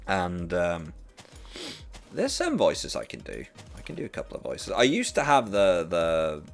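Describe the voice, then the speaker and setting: A Norwegian man with a sore throat, chatting casually and rambling while streaming a game, with faint music behind him. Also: low-pitched. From rural Western Norway. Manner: chatty, rambling, many restarts. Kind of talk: casual chat while gaming.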